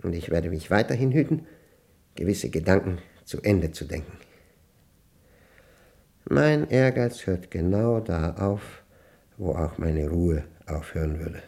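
An actor playing a reclusive, proud older mathematics professor in a radio play monologue, speaking with gravelly, pedantic, halting precision. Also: male voice, low voice, expressive, a little mellow, exaggerated voice. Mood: fascinated, uneasy.

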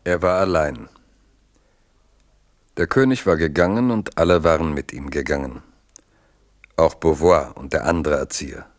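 Very deep-voiced male narrator. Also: no room sound. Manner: measured, evocative storytelling. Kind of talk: scripted literary narration in a radio drama.

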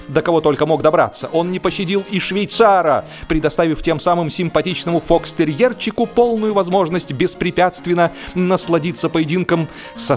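A man narrating a humorous story in a theatrical, mock-solemn, ironic manner. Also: a little high. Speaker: audiobook narrator. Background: music.

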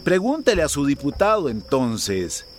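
A cocky male smuggler in a radio drama, taunting and theatrical. His voice sits fairly high and swings widely, with music behind it. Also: wildly swooping pitch, a little slow. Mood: smug menace. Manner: mocking, theatrical, self-assured.

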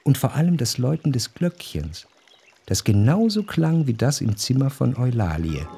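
An actor playing a reflective, wryly observant narrator in a literary radio drama, speaking in a measured, articulate way. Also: male voice, mid-range voice, big pitch swings, creaky. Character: reflective, observant male narrator of society life. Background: faint music.

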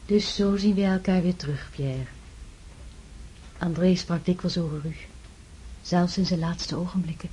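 Lady doing a kind grieving noblewoman, soft breathy voice, kinda low and mellow. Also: steady tone, a little hiss or hum, lightly acted, rough recording. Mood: tender. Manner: soft, earnest and measured. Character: grave, kind noblewoman in mourning. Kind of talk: radio drama acting.

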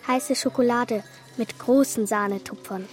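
A woman's voice: fairly high pitch, fairly even intonation, measured pace, close to an everyday voice. A woman playing a curious, playful girl of twelve in a radio drama, with youthful, eager storytelling. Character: bright twelve-year-old girl, curious, playful, cheeky. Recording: studio radio drama with music.

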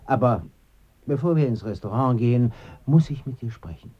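A man's voice: medium pitch, animated, normal speed, breathy edge, dull audio. A man acting in a German radio play as an earnest, troubled friend seeking answers, insistent and dryly sardonic.

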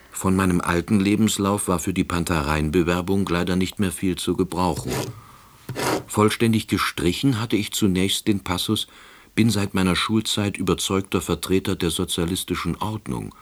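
A middle-aged, pedantic first-person narrator in a scripted radio drama. His voice is deep and husky, delivered with dry, deadpan officiousness. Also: moderate pace. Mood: wry. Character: wry, pedantic, laid-off East Berlin man narrating.

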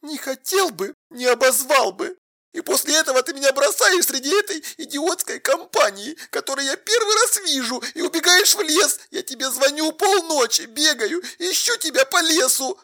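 A woman's voice: sits high, expressive, moderate pace, sharp tone, exaggerated voice. A woman playing a hurt young girlfriend in an audio drama, tearful, reproachful and emphatic. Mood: angry, reproachful.

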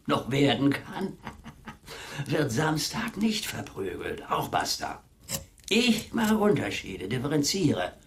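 An actor playing an aggrieved, boastful old manservant in a radio play monologue, grumbling and pompous with a gravelly, husky voice. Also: male voice, mid-range voice, a bit bright, no echo, studio quality, exaggerated voice. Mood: indignant. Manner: grumbling, pompous, theatrically shifting between murmurs and outbursts. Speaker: actor in the lead role.

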